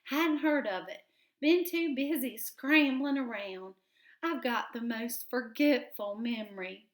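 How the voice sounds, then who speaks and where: A woman's voice: a little high, slow pace. A woman doing a forgetful old squirrel. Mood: eager. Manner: wistful, rustic folksy talk. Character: forgetful old male gray squirrel, rambling.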